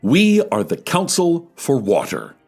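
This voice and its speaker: A fervent, self-important PSA announcer, played by a man, with pitch that swings widely and a slightly husky voice. Soft music runs beneath the radio-style spot. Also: huge pitch swings, a little slow, full character voice. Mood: pompous, preachy. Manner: pompous, fervent, rhetorical. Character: pompous male government public-service announcer.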